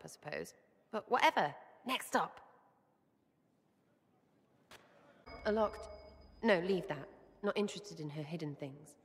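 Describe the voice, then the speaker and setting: A woman's voice: on the low side, husky, big-room sound, heavily acted. A woman playing a mischievous, blunt young elven rebel in a video game, with an English accent and a cheeky, slangy, irreverent way of talking. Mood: scheming.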